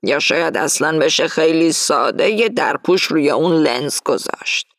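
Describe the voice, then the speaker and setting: A woman's voice: deep voice, expressive, dry recording, clean studio sound. A woman reading the lines of an older man who eagerly volunteers for the job. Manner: eager, offering to help.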